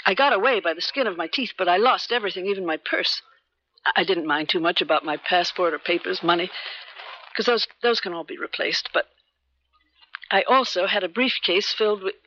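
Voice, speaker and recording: A woman's voice: fairly low pitch, brisk, bright, forceful tone, slight background noise. Poised female secret agent, dull recording. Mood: urgent. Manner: poised, assertive, cool. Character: poised, determined female American government agent, persuasive and secretive. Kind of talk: 1970s radio drama acting. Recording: dull 1970s radio broadcast recording.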